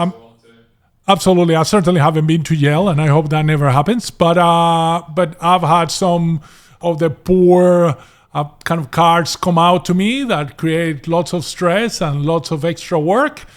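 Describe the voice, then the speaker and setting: A man's voice: on the high side, steady tone, normal speed. A middle-aged man from Venezuela with a mixed Latin American, American and Australian accent, telling his story as an interview guest with frequent restarts and fillers. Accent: Venezuelan Spanish, mixed with American and Australian. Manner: restarts and repeats words, many fillers. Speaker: guest, property investor.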